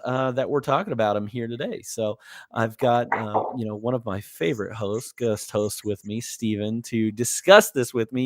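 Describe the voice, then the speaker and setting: Slightly hoarse man hosting a podcast. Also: medium pitch, no room sound, studio quality. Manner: easygoing, rambling, with many "uh" fillers and restarts. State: a little hoarse. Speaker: podcast host. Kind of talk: casual movie podcast chat.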